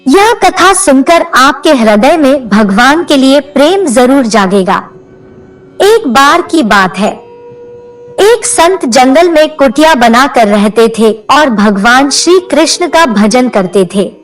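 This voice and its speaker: A woman narrates a scripted devotional story in a high voice. Her delivery is slow and swings widely in pitch as she tells the tale. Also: lively intonation, far from the mic, rough recording.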